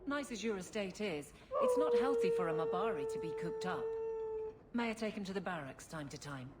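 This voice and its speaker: A woman's voice: fairly high pitch, huge pitch swings, brisk. An actress playing a practical, friendly female guard captain in a fantasy video game, affectionate and no-nonsense. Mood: fond, brisk. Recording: loud background noise.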